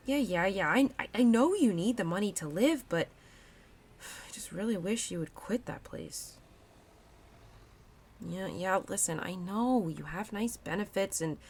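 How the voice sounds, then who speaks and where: A woman playing a playful, affectionate autumn spirit and protective childhood friend in an expressive, chatty audio roleplay. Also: medium pitch. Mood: indignant, protective, then worried, tender. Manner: expressive, chatty, teasing, with laughs and sighs. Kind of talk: girlfriend audio roleplay acting.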